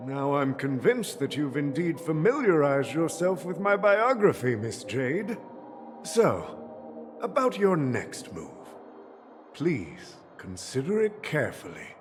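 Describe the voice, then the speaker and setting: A jovial, scheming old family boss for a video game. His pitch is on the high side and swoops grandly as he condescends. He sounds set in a big reverberant hall. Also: big pitch swings, takes it slowly, soft background music. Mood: smug, courteous. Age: older. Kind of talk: theatrical video game acting.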